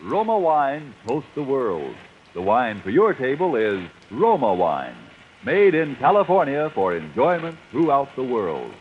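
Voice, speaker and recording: A man's voice: medium pitch, wildly swooping pitch, unhurried. A man delivers a vintage radio commercial with hugely varied, swooping intonation. The old recording is dull, with faint noise. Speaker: sponsor announcer.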